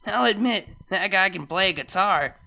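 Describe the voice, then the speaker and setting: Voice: mid-range voice, animated, moderate pace, vocal fry, sharp tone, faint music behind, pitched above the performer's own voice. A reader voicing a brash, hot-headed, protective pegasus mare in a story. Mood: casual.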